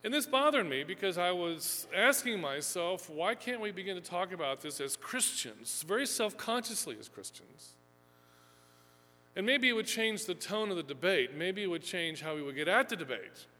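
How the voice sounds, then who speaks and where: A man's voice: high-pitched, big pitch swings, moderate pace, pushed and bright. A man giving a rambling, filler-heavy lecture to a live audience from a fixed lectern mic, self-deprecating and chatty. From Houston, Texas, USA. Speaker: seminary professor giving a talk. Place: lecture hall. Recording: echoey hall with faint hum.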